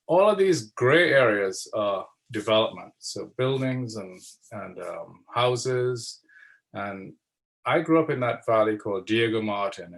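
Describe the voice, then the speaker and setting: Gravelly low-voiced man giving a slide talk. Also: fairly bright tone. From Trinidad and Tobago. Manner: unhurried, searching for words with fillers and repeats. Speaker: guest speaker.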